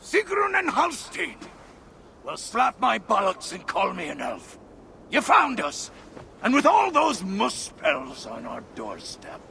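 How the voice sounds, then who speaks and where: Gravelly, swaggering dwarf chief. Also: male voice, sits high, wildly swooping pitch, slow pace, sharp tone, heavily acted. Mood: boisterous, jokey. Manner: blunt, earthy, swaggering. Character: boisterous, coarse, jokey dwarf chief. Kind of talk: video game cutscene acting. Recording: faint ambient noise.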